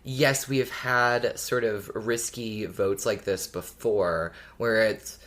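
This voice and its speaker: Measured male podcast co-host. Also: fairly low pitch, fairly even intonation, fairly bright tone. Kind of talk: casual podcast discussion.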